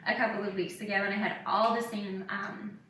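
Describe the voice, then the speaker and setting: A woman in her thirties hosting a casual podcast, telling candid personal stories in an animated way and acting out what people said. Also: medium pitch, fairly quick. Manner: animated, acts out quoted remarks. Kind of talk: casual chat, candid anecdotes. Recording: echoey room, distant mic, faint noise.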